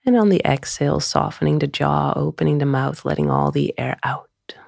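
A woman guiding a meditation in a soothing, gentle, unhurried way, often trailing into a breathy near-whisper. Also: deep voice, fairly even intonation, no room sound, studio quality. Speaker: meditation guide. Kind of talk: guided meditation.

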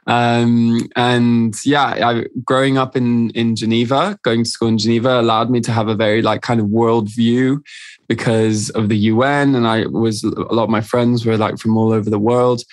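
A man's voice: medium pitch, flat delivery, normal speed, no room sound. A man who grew up in Switzerland and France, a podcast guest telling his own story in a candid, chatty way with plenty of "um"s. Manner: candid, chatty, many fillers. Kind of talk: casual storytelling interview.

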